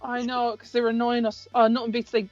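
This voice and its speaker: A young Irish woman banters in a high voice, blunt and full of swearing, often jumping in. It's a dull, dry recording with soft music underneath. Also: level tone, fast talker, no echo. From rural Ireland. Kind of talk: casual chat, bantering with another woman.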